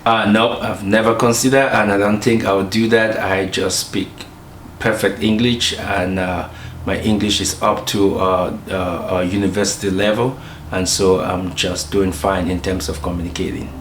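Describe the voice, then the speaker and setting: A man from Cameroon with a Cameroonian accent, a pastor speaking in a documentary interview, measured and pausing with fillers. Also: deep voice, little pitch movement. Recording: roomy with faint ambient noise.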